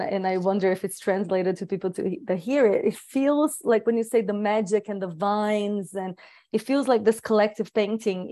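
A woman's voice: medium pitch, dark tone. A woman whose first language is Portuguese, speaking English in a searching, hesitant way during a reflective group conversation. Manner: searching, hesitant, with many restarts.

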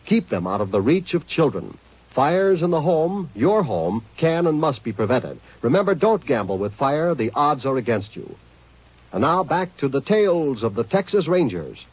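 Husky, animated vintage radio announcer man. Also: on the high side, normal speed. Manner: earnest, cautionary, emphatic. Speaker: public service announcer. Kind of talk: 1950s radio scripted announcement. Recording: muffled old radio recording.

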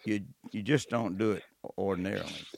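A man hosting a casual chat, earnest and reflective, with a halting delivery. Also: low-pitched, creaky, a little mellow, no room sound. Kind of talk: casual conversation.

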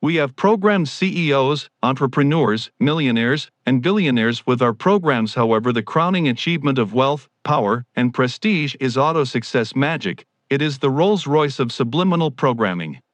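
A man's voice: medium pitch, expressive, normal speed, few pauses, dry recording. A man delivering a scripted promotional narration in a grandiose, formal salesman's manner. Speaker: promoter of his own audio programs.

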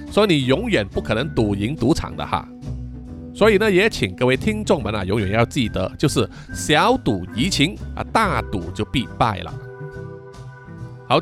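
A man, middle-aged, hosting a podcast in a casual, chatty style, reading out and answering listener comments with frequent sentence-final particles. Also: mid-range voice. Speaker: podcast host.

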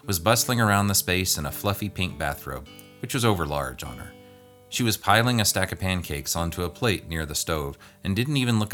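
Deep-voiced man narrating a story over music. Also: fairly bright tone. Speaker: guest reader.

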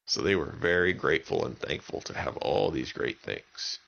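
A man's voice: very deep voice, expressive, moderate pace, gravelly, fairly bright tone, dull audio. A man telling an improvised bedtime story for children, using simple, repetitive wording. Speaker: children's story podcast narrator.

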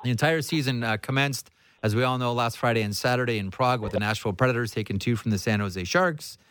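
A man speaks as a sports talk host in a casual, conversational style. His pitch sits in the middle range, and he shares opinions freely. The sound is dry and close, with little room on it. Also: fast talker, fairly bright tone, smooth, no echo. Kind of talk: casual hockey talk and interviewing.

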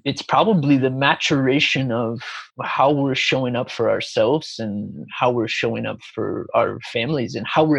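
A man's voice: mid-range voice, creaky, husky, no echo, rough recording. A man from Chicago, a spiritual guide, talking as a podcast guest in an easygoing, thoughtful way. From Chicago, USA. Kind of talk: reflective interview talk.

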